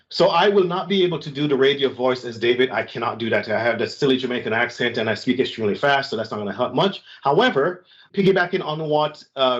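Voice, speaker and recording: Man giving community call updates, lo-fi. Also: mid-range voice. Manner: conversational, warm thanks, many fillers and restarts. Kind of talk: online community call announcements.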